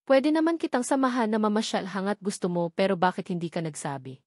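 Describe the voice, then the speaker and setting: A woman's voice: mid-range voice, fast, darkish tone, no room sound, lightly acted. Female reader as possessive rich husband, serious and worried, romance audiobook, dry recording. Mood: stern, worried. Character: stern, worried, possessive wealthy young husband.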